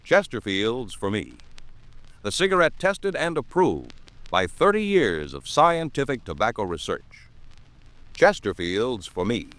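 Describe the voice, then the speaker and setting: A man's voice: medium pitch, wildly swooping pitch, unhurried, fairly bright tone, no room sound. An American man announcing in a polished, emphatic 1950s radio style, with formal scripted delivery. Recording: vintage 1950s radio recording, music behind.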